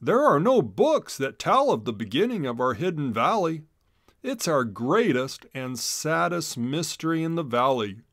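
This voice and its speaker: A man's voice: fairly high pitch, sing-song, a little slow, a bit bright, dry recording, studio quality, pitched above the performer's own voice, heavily acted. A man voicing a kindly animal schoolteacher in a children's storybook, explaining expressively. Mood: wistful.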